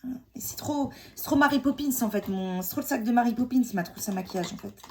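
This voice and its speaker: A woman's voice: fairly high pitch, quick pace, slight background noise. A woman in her forties with a cold, chatting casually and joking about herself while she does her makeup at home. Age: 40s. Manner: chatty, self-mocking, many fillers and restarts. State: has a cold. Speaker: beauty vlogger. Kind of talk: casual makeup tutorial chat.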